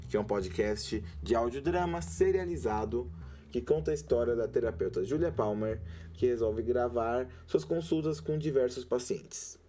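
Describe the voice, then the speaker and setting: A man's voice: medium pitch, darkish tone. A man with a Brazilian accent, hosting a podcast in scripted narration. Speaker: podcast host and creator.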